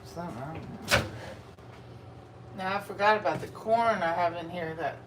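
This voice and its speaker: A Texan woman chats loosely and frankly as she cooks breakfast on a live stream. She sits well back from the mic in a roomy kitchen, with a steady noisy hum behind her. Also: fairly low pitch, moderate pace, recorded from a distance. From Texas. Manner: rambling and frank, talks while eating and cooking. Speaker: food vlogger. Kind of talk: casual live-stream chat while cooking.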